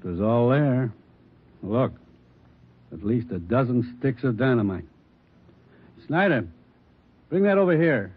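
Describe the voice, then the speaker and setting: An American actor playing a brisk police lieutenant in a 1940s radio crime drama, husky and matter-of-fact. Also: male voice, mid-range voice, animated. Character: brisk, businesslike male police bomb-squad lieutenant. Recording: tinny 1940s radio recording.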